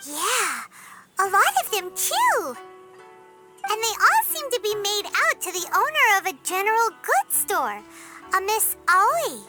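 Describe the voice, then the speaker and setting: A woman's voice: very high voice, sing-song, a little slow, exaggerated voice. A woman voicing a small, spirited, bossy and curious fairy girl in a video game, speaking in an earnest, exclamatory way. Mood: assertive. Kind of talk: video game cutscene voice acting. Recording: loud music behind voice. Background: game music.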